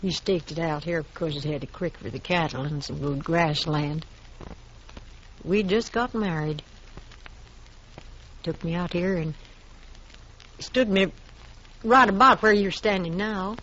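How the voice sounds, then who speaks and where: A woman's voice: on the low side, unhurried, long pauses, a bit bright. An actress in a 1950s radio western playing a stubborn widowed frontier woman defending her homestead, with a folksy drawl. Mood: wistful. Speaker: actor in radio drama. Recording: muffled old radio recording, faint hiss.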